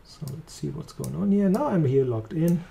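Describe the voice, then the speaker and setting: A man with a German accent walking through a software demo, talking casually as he clicks along. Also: on the high side, moderate pace, vocal fry, a little hiss or hum. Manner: relaxed, thinking aloud while clicking. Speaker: software trainer. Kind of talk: screen-recorded software demo.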